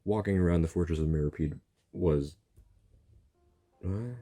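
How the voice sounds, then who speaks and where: A man's voice: sits low, a little slow, dark tone. A man giving casual, joking reaction commentary as he plays a game, with slangy exclamations. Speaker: gaming streamer.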